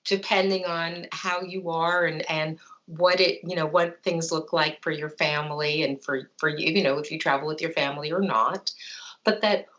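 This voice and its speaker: A woman's voice: low voice, steady tone, normal speed, fairly bright tone. A woman in her fifties, a psychologist speaking as a guest on a video call interview, talking reflectively with many you-knows. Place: home room with plant and bookshelf. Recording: dull lo-fi video call audio.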